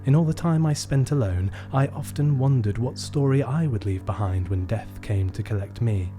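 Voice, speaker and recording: An actor playing a lonely, gloomy shop owner who narrates his tale in a measured, melancholy, theatrical way for a radio play. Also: medium pitch, lightly acted. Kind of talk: scripted first-person radio play narration. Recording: music bed behind the voice.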